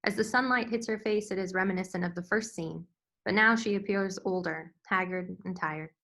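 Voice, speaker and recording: A woman's voice: medium pitch, normal speed, a bit bright. A woman reading scripted screenplay stage directions as narrator.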